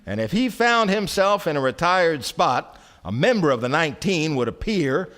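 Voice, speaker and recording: A man performing as a wry storyteller, narrating in deliberate theatrical phrases for a live radio play. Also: a little high, big pitch swings, a bit bright. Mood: wry storytelling. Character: wry storyteller narrating a small-town satire. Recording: live stage radio recording with music.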